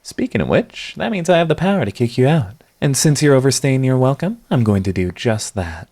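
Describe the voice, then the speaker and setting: A man acting a sardonic supervillain secretly devoted to his rival, in an intimate, theatrical audio roleplay monologue with halting confessions. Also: medium pitch, big pitch swings, darkish tone. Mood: haughty, dismissive.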